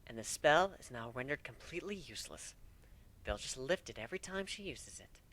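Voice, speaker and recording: A man puts on a smug, playful changeling wife from a fantasy fan story. Her voice is bright and sly, in a middling male register, with a matter-of-fact way of explaining. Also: mid-range voice, very animated, a little fast, a little hiss or hum. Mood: smug, amused.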